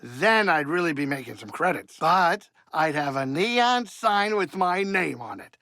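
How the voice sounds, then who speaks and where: An actor playing a grumbling but warm-hearted older alien pilot and cook in a video game, with a gravelly voice and a folksy, wisecracking, fussy way of talking. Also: male voice, on the high side, very animated, a little slow, no room sound, clean studio sound, full character voice. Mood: chatty, upbeat. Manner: folksy, wisecracking, fussy, colloquial streetwise talk. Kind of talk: video game character dialogue.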